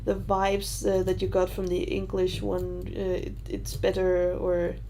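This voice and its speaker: A woman's voice: fairly low pitch, monotone, unhurried, warm tone, a little hiss or hum. A young Danish woman with a Danish accent hosting a casual livestream Q&A, talking in a hesitant, rambling way with many fillers. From Denmark. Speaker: livestream host answering questions.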